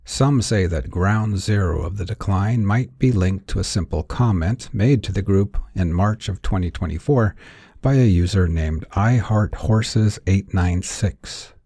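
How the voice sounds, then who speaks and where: A man narrating scripted noir fiction in a measured, wry, theatrical storyteller's manner. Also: low-pitched, dark tone, slightly rough, no echo, studio quality. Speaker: narrator and author.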